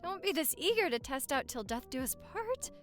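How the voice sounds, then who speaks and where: High, lively, playful young wife played by a woman. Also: moderate pace, faint music behind. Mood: flustered, playful.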